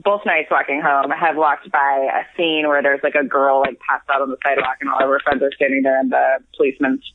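A woman's voice: rumbling low, flat delivery, brisk, few pauses. An American woman co-hosting by phone, a little tipsy, rambling and giggly with lots of restarts. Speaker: podcast co-host calling in from abroad. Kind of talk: casual chat. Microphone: phone call. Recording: tinny phone line.

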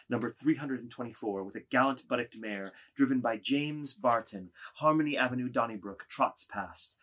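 A man's voice: medium pitch, a bit bright. A man narrating a dramatised novel, reading out stage directions as scripted narration.

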